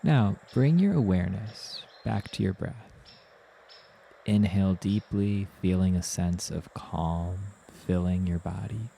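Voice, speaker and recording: A man narrating a guided meditation as the guide, in a soothing, gentle manner with unhurried instructions. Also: low voice, gravelly, slight background noise.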